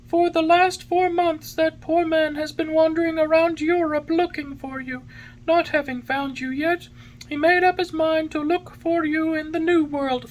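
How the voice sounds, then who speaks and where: A woman's voice: very high-pitched, pitched above the performer's own voice, low-quality recording. A woman voicing a large, helpful male talking pigeon in a children's story, earnest and matter-of-fact.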